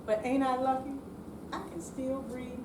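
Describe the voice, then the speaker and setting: An older woman giving a slow, deliberate, theatrical dramatic reading on stage. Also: high-pitched, fairly even intonation. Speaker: amateur readers theater performer. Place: senior center room, live reading. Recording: echoey room, distant mic, clear noise.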